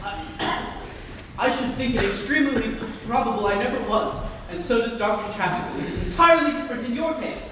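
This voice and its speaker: Young man playing indignant gentleman, very high-pitched voice. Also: a little fast. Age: young adult. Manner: indignant, emphatic. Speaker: student performer in a dramatic reading. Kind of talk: theatrical comedy stage reading. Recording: very echoey hall, distant, noisy.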